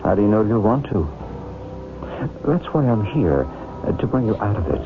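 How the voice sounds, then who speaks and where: Band-limited old radio sound, male hypnotist healer, lowish voice, measured, probing, authoritative, radio drama. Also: sing-song, phone-quality sound, over music. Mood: calm, assured.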